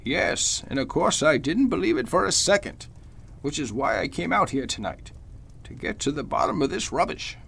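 An older judge from an old-fashioned radio play, acted by a man. His voice sits high and husky and swings widely in pitch. He speaks formally and earnestly, as a gentleman of long standing. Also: big pitch swings, normal speed, exaggerated voice. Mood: earnest, firm. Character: genial but suspicious veteran male judge, gentlemanly. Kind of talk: old-time radio drama acting.

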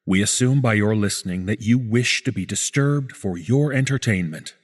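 A man reading scripted narration as a podcast host, in a measured, deliberate way. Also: fairly low pitch. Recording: music under the voice. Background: background music.

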